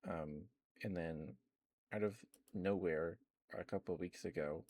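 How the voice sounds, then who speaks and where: A man with a fairly low voice hosts a casual ASMR stream. He talks close to the microphone in a loose, rambling way. He addresses his viewers affectionately, often with pet names. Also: a little slow, darkish tone.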